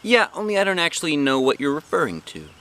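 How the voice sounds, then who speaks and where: Storybook role: man as a stubborn, dramatic little schoolgirl, pitched up, bright and animated, childishly emphatic. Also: fast talker, exaggerated voice. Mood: fibbing.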